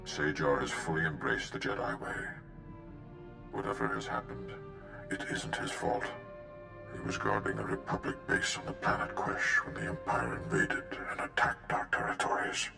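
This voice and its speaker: A grave, encouraging Jedi master from a video game. The voice sits extremely low and rumbles with heavy gravel. It moves expressively in a roomy echo. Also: male voice, very animated, normal speed, heavily acted. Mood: grave concern. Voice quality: very deep, gravelly. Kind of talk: scripted video game cutscene acting. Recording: roomy echo under loud music.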